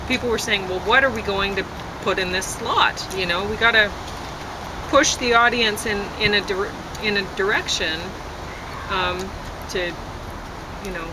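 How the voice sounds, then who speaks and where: A woman in casual, unscripted chat, speaking hesitantly and meandering with pauses. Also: medium pitch. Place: outdoors on a deck. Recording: loud outdoor ambience and traffic noise.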